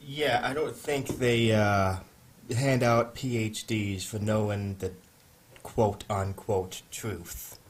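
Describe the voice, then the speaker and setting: A young man co-hosting and producing a comedy podcast, giving dry, deadpan comebacks. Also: fairly low pitch, slow, slight background noise. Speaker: co-host and producer. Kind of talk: casual podcast banter.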